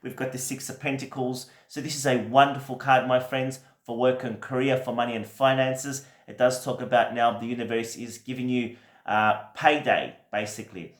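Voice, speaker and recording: A man with a croaky voice from a recent cold, giving a gentle, reassuring weekly tarot reading with many fillers. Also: mid-range voice, fairly even intonation, normal speed, reverberant. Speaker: tarot reader.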